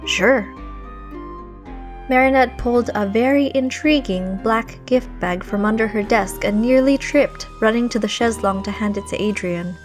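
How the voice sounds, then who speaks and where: A woman narrates a story in a lightly high voice. Her reading is expressive and dramatic. Soft music plays behind her. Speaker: fan fiction narrator.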